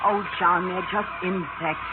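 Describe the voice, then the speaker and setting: A woman's voice: lowish voice, steady tone, a little slow, natural voice. An actress playing an anxious, pleading woman with breathless 1940s radio melodrama. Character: anxious, pleading woman soothing an obsessed man. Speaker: radio actor. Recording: tinny 1940s radio, loud music.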